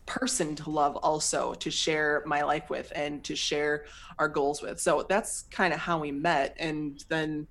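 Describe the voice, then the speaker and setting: An American woman with a voice pitched low for a woman. She tells her story animatedly as an interview guest, laughing often. Her talk is full of fillers like "um" and "like.". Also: little pitch movement, unhurried, fairly bright tone. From United States.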